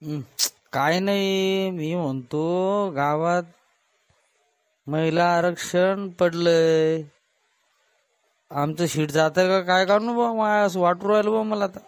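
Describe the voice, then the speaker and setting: Man voicing a dithering village headman, rather high-pitched. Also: steady tone, clean tone, no room sound. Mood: worried, unsure. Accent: Varhadi Marathi. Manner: hesitant, drawn-out, folksy. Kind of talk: comic cartoon dialogue.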